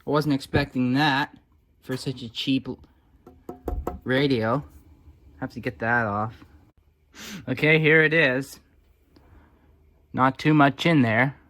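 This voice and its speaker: Slow-paced man muttering wry asides. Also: medium pitch, fairly bright tone. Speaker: hobbyist restorer and video host. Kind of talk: casual off-the-cuff workbench commentary.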